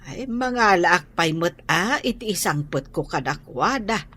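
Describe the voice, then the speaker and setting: A woman's voice: fairly low pitch, lively intonation, a little slow, bright, forceful tone, husky, heavily acted. A woman with an Ilocano accent playing a caring grandmother chatting with a neighbour in a radio drama. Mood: concerned, neighbourly. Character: caring older woman relative, fond of her grandchildren.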